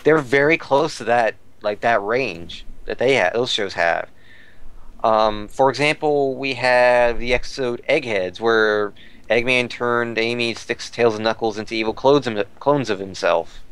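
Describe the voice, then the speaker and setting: A man's voice: mid-range voice, a little slow, a bit bright, clear, resonant tone, poor audio. A man talking casually as a podcast commentator about fan news, rambling and repeating phrases as he searches for words.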